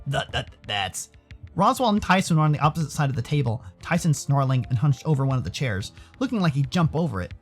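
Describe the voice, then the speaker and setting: An American man from Arizona giving theatrical, expressive narration of a visual novel as a storyteller. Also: a little high, quick pace, hardly any pauses, husky, soft background music. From Arizona, United States. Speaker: narrator and streamer.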